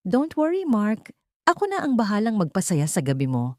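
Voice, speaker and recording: A woman's voice: medium pitch, huge pitch swings, moderate pace, warm tone, no echo, clean studio sound, voice pushed up. A woman voicing a smug, mocking mistress in a Tagalog audio drama story. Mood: mocking, flirty.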